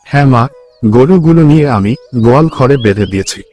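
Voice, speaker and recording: A man's voice: mid-range voice, animated, a little fast, a little mellow, faint music behind, close to an everyday voice. A man voicing a matter-of-fact adult son and husband in a cartoon story.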